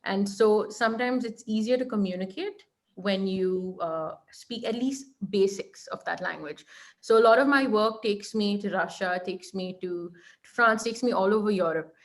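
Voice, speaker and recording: A woman's voice: mid-range voice, normal speed. A young woman with an Indian accent, a law lecturer speaking as an interview guest, giving earnest advice with frequent restarts and "right?" checks. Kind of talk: online interview conversation.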